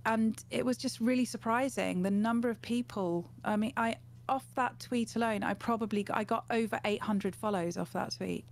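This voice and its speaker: A woman's voice: fairly high pitch, moderate pace, hardly any pauses. A woman in her forties talking casually as an interview guest, telling anecdotes in a conversational way. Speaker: guest, gaming streamer. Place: small home room, indoors, on a video call. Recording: clear background noise with hum.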